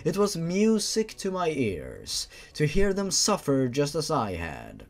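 A man's voice: a little high, huge pitch swings, takes it slowly, gravelly. A man hosting a dramatic read-aloud of a horror story as its narrator. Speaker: host reading a fan horror story aloud.

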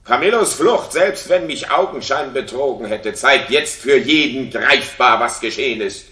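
Jealous tyrant king for classic radio drama: declamatory, imperious, highly animated delivery. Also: normal speed. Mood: imperious, justifying. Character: jealous, suspicious, wrathful king of Sicily. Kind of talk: 1960s German radio drama acting. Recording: roomy 1960s radio studio recording.

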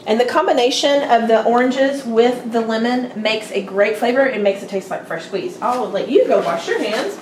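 A woman's voice: fairly high pitch, expressive, moderate pace, hardly any pauses, a little hiss or hum. A middle-aged woman hosting a casual home cooking demo, chatty and upbeat. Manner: chatty, upbeat, loose instructions. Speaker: cooking show host. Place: home kitchen. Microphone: far camera. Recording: echoey kitchen from far off, clattering dishes.

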